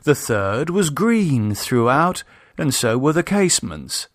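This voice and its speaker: A man narrating a classic horror story as an audiobook, in a measured, deliberate and theatrical manner. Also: medium pitch, big pitch swings, no echo, studio quality.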